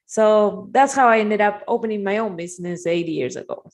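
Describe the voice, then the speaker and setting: A woman's voice: medium pitch, moderate pace. A middle-aged woman from Argentina, telling her story conversationally as an interview guest. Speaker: podcast guest, marketing agency owner.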